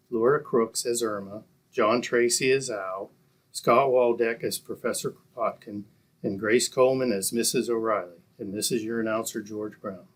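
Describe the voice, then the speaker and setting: A man's voice: lowish voice, breathy edge, rough recording. A man reading scripted announcements as an old-time radio announcer.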